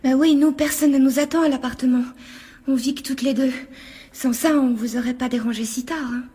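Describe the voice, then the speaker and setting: An uneasy young woman in a radio play, with a very high, breathy voice. She speaks hesitantly and timidly, full of anxious questions. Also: steady tone, a little fast, dark tone. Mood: polite. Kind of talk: radio drama acting.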